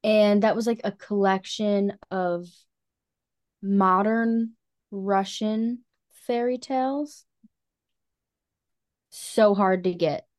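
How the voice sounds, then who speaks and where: A woman with a medium-pitched voice speaks as a podcast co-host at a very leisurely pace. She chats about books informally, with casual slang and a conversational delivery. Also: slow pace, long pauses, darkish tone. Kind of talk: casual book chat.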